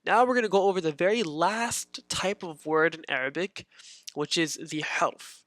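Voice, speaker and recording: A man's voice: on the high side, normal speed, fairly bright tone, dry recording. A man teaching a beginner grammar lesson in a casual, patient way, repeating his points with many fillers.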